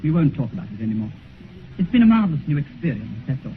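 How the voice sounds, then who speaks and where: An actor playing a vain, heartless young British gentleman in a theatrical, earnest, self-absorbed manner for a 1950s radio drama. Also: fairly high pitch, big pitch swings, fast talker. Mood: self-absorbed, wistful. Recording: muffled 1950s radio recording, faint noise.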